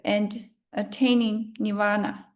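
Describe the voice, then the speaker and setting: Very slow woman leading meditation. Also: mid-range voice, level tone. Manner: measured, solemn, short phrases with long pauses. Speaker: guided meditation leader. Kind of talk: slow guided meditation and prayer recitation.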